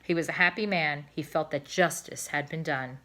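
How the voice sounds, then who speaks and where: Bright, lowish-voiced woman reading aloud. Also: moderate pace. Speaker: storybook reader. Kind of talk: children's book read-aloud.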